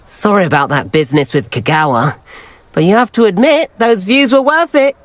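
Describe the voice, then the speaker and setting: A man's voice: high-pitched, lively intonation, normal speed. A man voicing a friendly, adventurous teenage schoolboy in a video game, chatty and well-spoken. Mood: friendly, wistful. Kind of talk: video game character acting.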